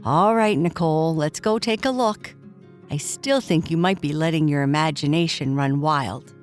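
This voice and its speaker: A woman voicing a gentle, reassuring but sceptical mother in a children's bedtime story. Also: low-pitched, normal speed, soft background music, lightly acted. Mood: doubtful.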